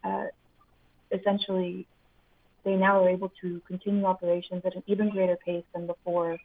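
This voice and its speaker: A soft-spoken woman, a senator's community affairs director, reading an earnest prepared public comment by phone with frequent ums. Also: on the low side, level tone, brisk. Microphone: phone call-in. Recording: tinny phone line.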